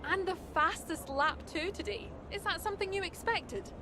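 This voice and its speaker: A woman's voice: very high voice, normal speed, background noise. An actress playing a polite, upbeat female sports interviewer at the trackside in a video game.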